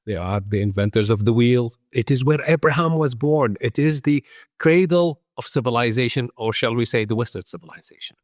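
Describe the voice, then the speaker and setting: A man from Iraq speaks as an expert being interviewed. His voice sits at an average pitch in a dry recording. He explains in a conversational way, repeating words for emphasis. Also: a little fast, no echo. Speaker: guest expert, conservation organization founder.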